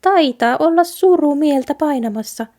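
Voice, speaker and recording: A woman puts on a tiny female mouse from a storybook folk tale. The voice sits well above an everyday pitch. The little creature is brisk, cheerful and breezily reassuring. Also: expressive, dark tone. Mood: kindly curious.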